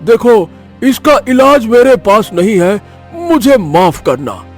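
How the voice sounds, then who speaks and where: A regretful village healer from a cartoon tale, voiced by a man. The voice is high, slow and apologetic, with a grave manner. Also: very animated, soft background music, exaggerated voice.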